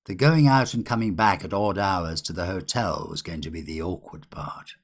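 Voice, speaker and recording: A man voicing a nervous, despairing young antiquarian in a storybook, resigned and halting, sometimes muttering. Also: deep voice. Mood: weary confiding.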